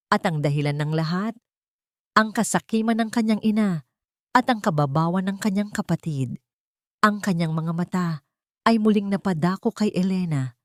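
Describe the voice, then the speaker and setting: A woman narrates a scripted melodrama. Her voice sits on the low side, and she tells it deliberately, with solemn suspense. The recording is dry, with hardly any room around it. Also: darkish tone, no echo. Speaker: story narrator. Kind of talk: dramatic scripted story narration.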